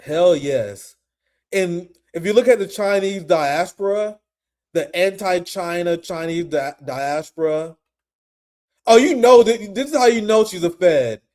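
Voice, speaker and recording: Profane, animated man, higher voice. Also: unhurried. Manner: animated, profane, laughs often. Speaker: political commentary host. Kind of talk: casual livestream commentary.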